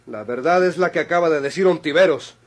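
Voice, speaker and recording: An actor playing a stern, accusing Mexican police chief in an old radio drama, with a husky voice. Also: male voice, fairly high pitch, fairly quick. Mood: accusing, indignant. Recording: vintage radio drama recording.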